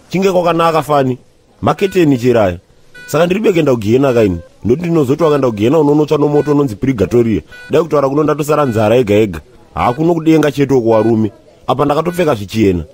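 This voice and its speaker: A Zimbabwean man playing a bewildered, aggrieved husband and patient who rambles comically in a cartoon, with a husky voice. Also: fairly high pitch, animated, rapid-fire, a little mellow, soft background music, close to an everyday voice. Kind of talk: animated comedy dialogue.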